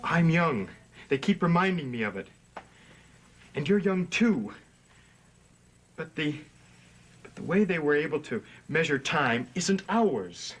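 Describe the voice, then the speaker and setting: A man's voice: fairly high pitch, very animated, unhurried, pauses often, fairly bright tone, heavily acted. An actor playing an earnest, moody, lovesick young man in a gothic soap opera. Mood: brooding, urgent. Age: young adult.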